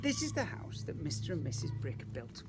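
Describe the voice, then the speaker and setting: A man's voice: on the high side, very animated, normal speed, music in the background. A man reading a picture book to young children as a teacher, emphatically, with rhetorical questions and asides. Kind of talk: animated picture-book storytelling.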